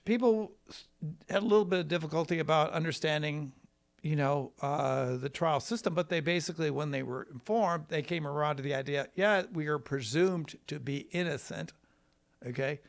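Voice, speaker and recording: Halting American man with creaky voice. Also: on the high side. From Wisconsin, United States. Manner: halting, rambling, folksy. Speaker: trial lawyer telling his story. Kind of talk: casual conversational storytelling.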